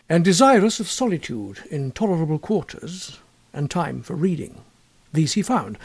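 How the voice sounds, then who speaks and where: A man with a husky voice narrating a literary ghost story in a measured, expressive way. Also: a little high. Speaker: audiobook narrator.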